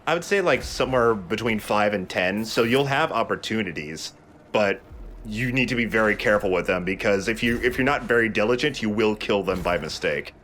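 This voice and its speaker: A man's voice: lowish voice, animated, brisk, fairly bright tone, a little hiss or hum. A man hosting a let's play, giving casual, explanatory gameplay commentary.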